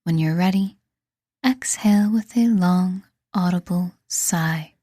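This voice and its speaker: A woman reading a scripted guided meditation in a soothing, deliberate manner, leaving pauses between phrases. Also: on the low side, warm tone, dry recording, studio quality. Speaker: meditation guide and podcast host.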